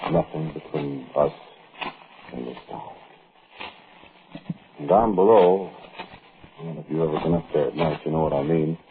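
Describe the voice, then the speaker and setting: Casting: world-weary bank robber narrating, very low voice, wry and rambling, vintage radio sound with heavy noise. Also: male voice, phone-quality sound. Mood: brooding, reminiscing. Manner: conversational, wry, rambling storyteller. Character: world-weary male bank robber recounting an old job. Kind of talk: old-time radio drama first-person narration. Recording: noisy, band-limited old radio recording.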